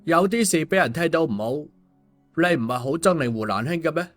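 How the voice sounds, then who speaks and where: A man's voice: fairly high pitch, expressive, brisk, close to an everyday voice. A man voicing a glib, streetwise man in a storybook, colloquial and coaxing. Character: glib, streetwise man placating an angry woman. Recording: music under the voice.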